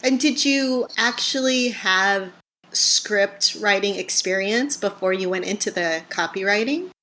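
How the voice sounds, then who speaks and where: A woman's voice: medium pitch, unhurried, pushed and bright, low-quality recording. A woman hosting a podcast, asking questions in a casual, conversational way. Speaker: podcast host, interviewer. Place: remote online call.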